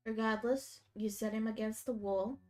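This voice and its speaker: A woman narrates a tabletop session in a loose, casual way, her voice a bit stuffy from a cold. She speaks in a somewhat high register. Soft music runs underneath. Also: normal speed. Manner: casual, relaxed narration with fillers. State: slightly congested from a cold. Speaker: game master.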